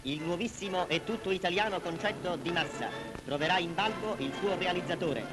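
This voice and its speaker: Vintage newsreel soundtrack, loud music, male narrator, fairly high voice, solemn declamatory delivery. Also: a little fast, poor audio. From Italy. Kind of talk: 1940s wartime propaganda newsreel narration.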